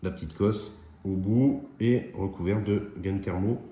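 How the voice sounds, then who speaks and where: A man's voice: low voice, a little slow, dark tone, breathy edge. A man hosting a hobby DIY video, chatting informally and thinking aloud as he demonstrates by hand. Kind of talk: casual hands-on demonstration.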